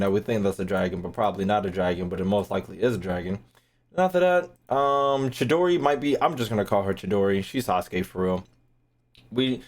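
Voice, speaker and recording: A man's voice: medium pitch, darkish tone. A young man giving casual livestream game commentary, slangy and profane, with playful rants. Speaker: game streamer.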